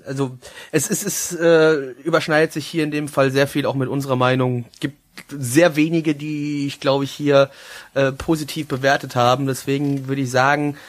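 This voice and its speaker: A man hosting a casual anime review chat, talking in a halting, joking, self-mocking way. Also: medium pitch. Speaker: host of an anime review show.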